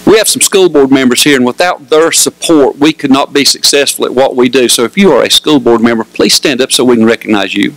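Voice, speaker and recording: A man, a high school principal making measured, courteous ceremony announcements into a microphone in an auditorium. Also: a bit bright, slight background noise, rough recording. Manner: measured, courteous emcee delivery.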